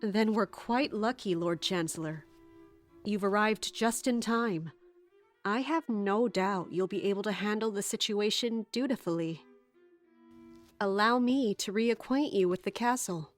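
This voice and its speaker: A woman's voice: mid-range voice, faint music behind, natural voice. A woman playing a resolute, guarded guard captain, speaking formally and narrating in a fantasy audio drama. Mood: polite, guarded. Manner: formal, measured, commanding.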